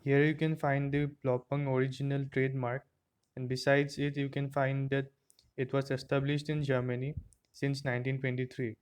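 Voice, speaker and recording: A man's voice: medium pitch, level tone, normal speed, clean tone. A man narrating an unboxing and product review in a plain, step-by-step explaining way, recorded on an earphone microphone.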